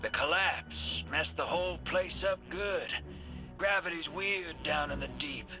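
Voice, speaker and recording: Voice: mid-range voice, a little slow, over music, lightly acted. An actor playing a breezy, cocky rogue in a video game, with a folksy, slangy, playful way of talking. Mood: easygoing briefing. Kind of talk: casual video game character acting.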